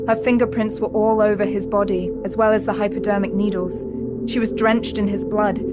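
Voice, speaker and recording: Female narrator actress for sci-fi thriller audio drama: grave, dramatic, medium pitch, heavy score underneath. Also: lightly acted. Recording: prominent dramatic music under the voice.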